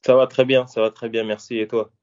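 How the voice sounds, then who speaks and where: A man's voice: medium pitch, little pitch movement, fairly quick, a little mellow. A man in his thirties from Paris, a former fighter and coach, answering interview questions casually with lots of fillers and restarts. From France, Paris. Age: 30s. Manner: hesitant, many fillers and restarts. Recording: dull lo-fi audio.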